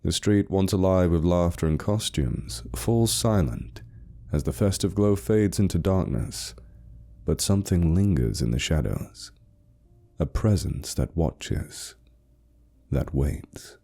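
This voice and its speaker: A man narrating a scripted horror story like a dramatic audiobook, in a measured, deliberate and ominous way. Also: low-pitched, expressive, vocal fry, dark tone.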